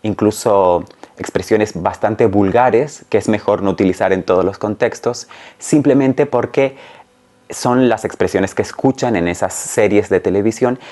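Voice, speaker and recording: Low-voiced man teaching to camera. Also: measured pace, dark tone. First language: Spanish. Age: 40s. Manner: clear, careful, didactic phrasing. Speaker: Spanish teacher and channel host. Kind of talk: explanatory language lesson to camera. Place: small room at home, indoors.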